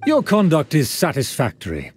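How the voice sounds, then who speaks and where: A man's voice: fairly high pitch, huge pitch swings, normal speed, slightly rough, full character voice. An actor playing a vain, haughty nobleman in a video game, speaking with pompous, formal, courtly phrasing. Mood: grandly approving. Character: pompous haughty male aristocrat, vain, fond of etiquette. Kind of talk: video game character acting. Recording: music behind the voice.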